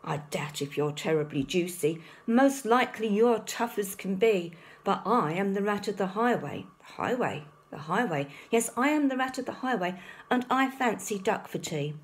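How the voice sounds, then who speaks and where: A woman voicing a greedy, bullying male rat highway robber from a storybook, loud in his demands and boastful. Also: animated, moderate pace, lightly acted. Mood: menacing, greedy.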